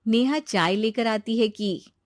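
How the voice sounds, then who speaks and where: A woman storyteller reads a scripted moral tale in narration. Her voice is on the high side, and the recording is close and dry. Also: slow, no echo.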